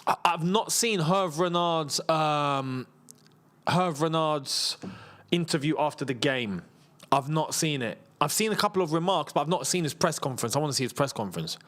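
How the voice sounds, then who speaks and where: A man's voice: on the high side, lively intonation, moderate pace, fairly bright tone. Tired, chatty man in his thirties. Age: 30s. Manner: chatty and rambling, laughs often, reads viewer messages aloud. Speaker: football channel host. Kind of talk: casual live watchalong chat.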